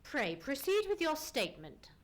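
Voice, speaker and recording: A woman playing a brisk, commanding Victorian detective with formal, precise questioning in an old-time radio mystery. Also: sits high, huge pitch swings, a little hiss or hum. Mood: probing. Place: theatre stage, live radio play performance.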